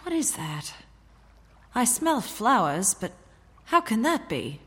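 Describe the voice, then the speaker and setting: An actress playing a feisty, exasperated girl Friday to a playboy hero, brisk and sharp-tongued in old-time radio serial style. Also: on the high side, big pitch swings, breathy edge, exaggerated voice. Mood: annoyed, suspicious. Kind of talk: 1940s radio serial acting.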